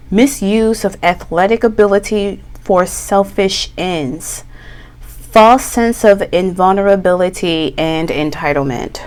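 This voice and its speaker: Slow, hoarse woman tarot reader. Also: medium pitch. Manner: slow, relaxed, chatty with slang. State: slightly hoarse with a cough. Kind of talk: pick-a-card tarot reading.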